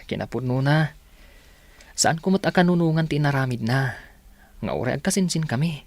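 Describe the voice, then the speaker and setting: A man's voice: on the high side, expressive, moderate pace, dry recording. A man playing a decent, earnest young villager in a radio drama, speaking with an Ilocano accent. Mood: sympathetic, earnest. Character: decent, sympathetic young man, disapproving of his womanizing cousin.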